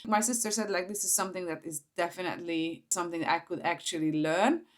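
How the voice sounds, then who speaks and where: Woman, 40s, Hungarian accent, fairly low voice, informal personal vlog storytelling. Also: fairly even intonation, a little fast. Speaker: vlogger, entrepreneur.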